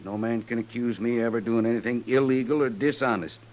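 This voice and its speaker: An American actor playing a hard, self-satisfied, sharp-dealing cattle baron in an old-time radio western. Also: male voice, medium pitch, fairly even intonation, fast talker, close to an everyday voice. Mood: smug, unyielding.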